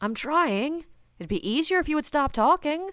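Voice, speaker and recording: A woman's voice: high voice, animated, normal speed, a bit bright, no echo, studio quality. A woman playing a jumpy, annoyed young friend in an audio play. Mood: uneasy.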